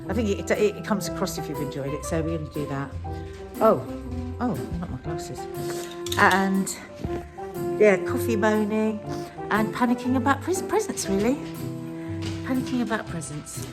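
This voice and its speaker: A woman's voice: mid-range voice, moderate pace, music in the background. A middle-aged British woman chatting casually to a vlog in a rambling, informal way, often interrupting herself. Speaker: family vlogger.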